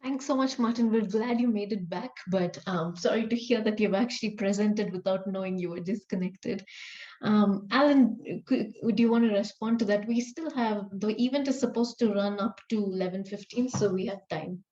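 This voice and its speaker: A woman's voice: medium pitch, normal speed, dark tone. A woman moderating an academic discussion, on roomy, dull online audio. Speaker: event moderator.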